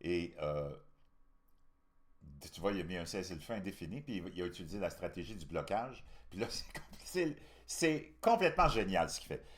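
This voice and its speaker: A low-voiced Québécois man hosts a casual political commentary. His pitch moves around a great deal as he makes his points. He is colloquial, opinionated and emphatic. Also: lively intonation, normal speed. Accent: Québécois French. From Canada. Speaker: host commentator.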